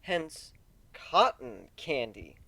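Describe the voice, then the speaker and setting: A man's voice: medium pitch, very animated, extremely slow, vocal fry, bright, forceful tone, husky, no room sound, heavily acted. A man voicing a wry, teasing, fond male pegasus pony in a story reading. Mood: bemused.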